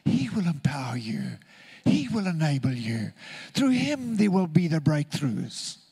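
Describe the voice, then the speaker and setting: A man from Zimbabwe, preaching a sermon in an earnest, deliberate way with emphatic repetition. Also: on the high side, wildly swooping pitch, creaky, mellow, husky.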